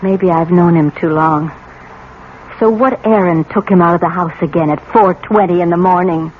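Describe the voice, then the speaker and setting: A woman's voice: lowish voice, fairly even intonation, normal speed, lightly acted. An actress playing a suspicious, bitter middle-aged wife and mother in a vintage radio drama. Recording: tinny, band-limited old radio broadcast, faint noise.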